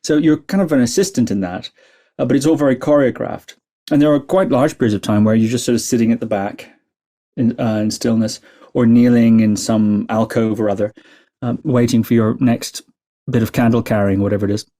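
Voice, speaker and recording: Thoughtful man, mellow voice. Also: mid-range voice, brisk. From Scotland, Shetland Islands. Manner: thoughtful, hesitant with many fillers. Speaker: podcast guest, meditation teacher. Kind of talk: reflective interview storytelling.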